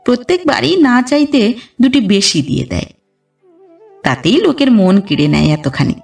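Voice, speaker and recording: A woman reads a literary story as a scripted audiobook narration, in a measured storytelling delivery. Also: on the high side, very animated, creaky, darkish tone, far from the mic. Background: background music.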